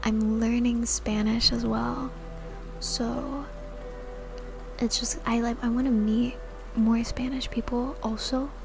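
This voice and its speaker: A woman streamer whispering soft, giggly ASMR chat to her viewers into a sensitive mic. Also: on the high side, little pitch movement, slow, gravelly, over music. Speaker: VTuber streamer.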